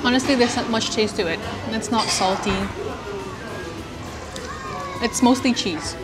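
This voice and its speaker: A woman's voice: fairly high pitch, a little slow, over loud music, rough recording. A young woman hosting a casual food vlog, chatting upbeat while tasting dishes in a cafe on a lapel mic. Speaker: food vlogger host. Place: small cafe indoors and street outdoors.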